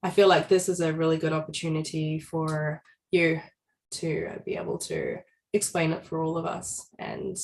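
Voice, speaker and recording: A woman's voice: sits low, animated, normal speed, creaky, a little mellow. Thoughtful woman co-host. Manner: thoughtful, searching for words. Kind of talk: casual conversational chat.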